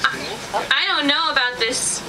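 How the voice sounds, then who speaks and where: A woman plays an anxious young sheep in a homemade puppet show, full of questions and exclamations. The voice is high and comes from well away from the mic, under very loud outdoor farm noise. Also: lively intonation, a little slow, recorded from a distance. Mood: worried, hesitant. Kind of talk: amateur puppet show dialogue.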